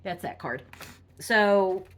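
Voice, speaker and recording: A woman reading tarot cards in a casual, halting monologue full of fillers, sounding congested from a cold. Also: medium pitch, animated, noisy background. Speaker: tarot reader.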